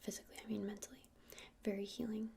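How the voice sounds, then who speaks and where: A gentle witch who keeps a shop , played by a woman for an ASMR roleplay. She whispers breathily and evenly, with little rise or fall. Her explanations are soothing and hesitant, over a faint layer of background noise. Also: medium pitch, flat delivery, fast, vocal fry, lightly acted. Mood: soothing, unhurried. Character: gentle witch shopkeeper showing crystals to a customer.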